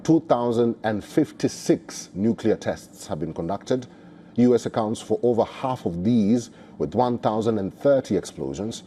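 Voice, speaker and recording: A man presenting scripted news narration in a formal, measured delivery. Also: lowish voice, mellow, slight background noise.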